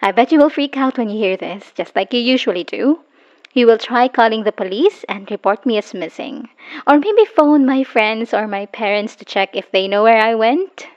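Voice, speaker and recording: A woman's voice: on the high side, lively intonation, moderate pace, dull audio, natural voice. An actress playing a bitter, exhausted woman leaving an abusive partner, speaking scornfully and emphatically in a dramatic audio roleplay voicemail. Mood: bitter, defiant.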